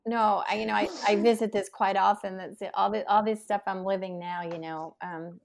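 A woman's voice: mid-range voice, fairly even intonation, normal speed, creaky. A woman in her sixties hosting an interview, chatting and reminiscing casually with many fillers. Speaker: podcast host.

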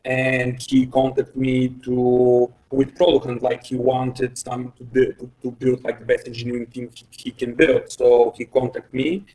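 A man from Bulgaria, in his thirties, talks through interview answers in a flat, even voice. He hesitates often, restarts sentences and slips in small laughs. He sits some way back from the microphone. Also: medium pitch, measured pace, few pauses. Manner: hesitant, many fillers and restarts, light laughs. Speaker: guest, head of engineering. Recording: voice distant from the mic.

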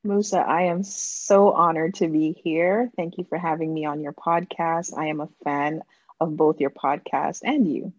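A woman's voice: low voice, fairly even intonation, unhurried, darkish tone, no room sound, dull audio. A middle-aged woman with an accent that shifts between Jamaican and American, talking as a gracious, effusive podcast guest. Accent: Jamaican and American mix. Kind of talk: casual interview talk.

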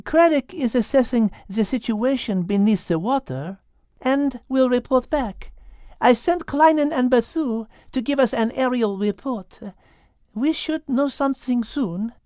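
A woman's voice: on the high side, measured pace, dark tone, no echo, clean studio sound, voice pushed up, natural voice. A woman voicing a composed, clever female cat with a French accent and a polite, gracious manner in an audiobook. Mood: thoughtful.

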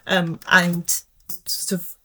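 Thoughtful English-accented woman, lowish voice. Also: completely flat delivery. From Scotland. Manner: thoughtful and measured, many soft hesitations. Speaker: pensions actuary, podcast guest. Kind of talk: reflective interview answers.

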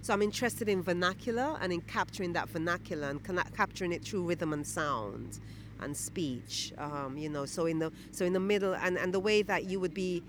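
Woman poet, reflective, halting, outdoors with faint traffic behind. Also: on the low side. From Guyana. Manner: thoughtful, halting, many restarts and fillers. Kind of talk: reflective interview answers. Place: outdoors near a city church.

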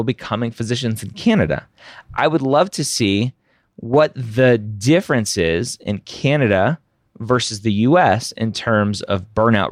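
A man's voice: mid-range voice, no room sound, studio quality. A middle-aged man hosting a podcast, giving candid, opinionated advice and tagging points with a rhetorical "right?". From United States. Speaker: podcast host answering listener questions. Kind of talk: casual advice Q&A.

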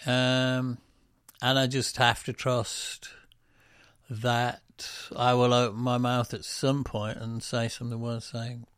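A man's voice: medium pitch, completely flat delivery, slow pace, fairly bright tone, clean tone, no room sound, clean studio sound. A man co-hosting a casual podcast, rambling with lots of ums and self-deprecating asides. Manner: breaks into quoted voices for jokes. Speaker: musician and podcast co-host.

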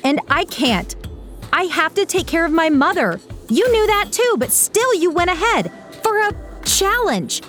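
A woman voicing an anxious young woman torn between duty and ambition, with a high, strained, pleading voice. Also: big pitch swings, measured pace, a bit bright, faint music behind, heavily acted. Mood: distressed.

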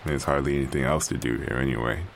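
A man's voice: rumbling low, fairly even intonation, fast talker, a little hiss or hum. An actor playing an exasperated, wry stranded man talking to himself as he records a journal in an audio drama. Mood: resigned, wry.

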